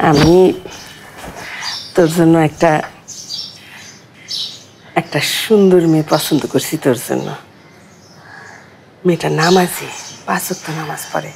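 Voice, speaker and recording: A woman's voice: low-pitched, creaky, darkish tone, a little hiss or hum. An actress playing a pious, nagging, aggrieved older mother with a colloquial Bangladeshi Bengali accent in a television drama. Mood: coaxing. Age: middle-aged or older. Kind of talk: domestic TV drama acting.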